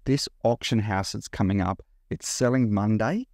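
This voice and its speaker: A man in his forties with an Australian accent, talking straight to camera about reselling in a blunt, animated way. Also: on the low side, normal speed, no room sound, clean studio sound. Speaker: reseller vlogger. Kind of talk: direct-to-camera vlog talk. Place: indoor room by large window.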